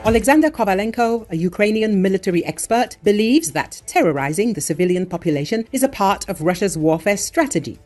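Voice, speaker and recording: A woman reading scripted news in a measured, formal voice-over. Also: medium pitch, expressive, a little mellow, soft background music. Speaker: news narrator.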